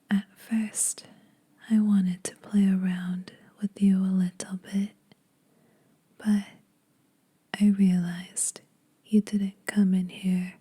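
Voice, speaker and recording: A woman acts out an ageless female vampire in an ASMR roleplay, teasing yet secretly kind to mortals. She speaks in a very breathy whisper, extremely soft and dark in tone. Her delivery is intimate and very slow, with little rise and fall. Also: lowish voice, exaggerated voice. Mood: playful, reassuring.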